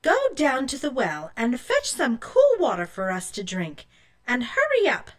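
Woman playing a loud, bossy storybook mother. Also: fairly high pitch, huge pitch swings, measured pace. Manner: sharp, commanding, loud. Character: harsh, bossy mother ordering her daughter about.

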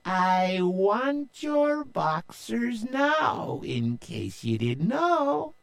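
Man doing a shrill falsetto pirate woman. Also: sing-song, very slow, vocal fry, heavily acted. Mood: menacing. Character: fierce female pirate captain, bold and commanding.

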